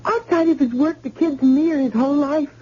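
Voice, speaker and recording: A woman's voice: sits high, brisk, mellow, close to an everyday voice. An actress playing a distraught young wife and mother, talking in a breathless, tearful way on a dull old radio broadcast. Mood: anxious. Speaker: witness's wife.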